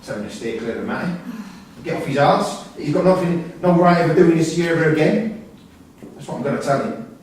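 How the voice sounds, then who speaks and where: A man's voice: a little high, a little fast, close to an everyday voice. A man playing a middle-aged imprisoned father in a staged play reading, defensive and controlling, blunt and sweary in his talk. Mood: angry, insistent. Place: indoor performance venue, recorded from the audience's distance. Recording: echoey hall, distant mic, faint hiss.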